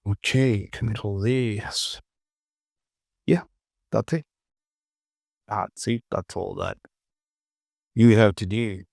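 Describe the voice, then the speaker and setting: A man casually presenting a software tutorial, talking to himself as he works and repeating short phrases. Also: lowish voice, slow, vocal fry, long pauses, no echo, studio quality.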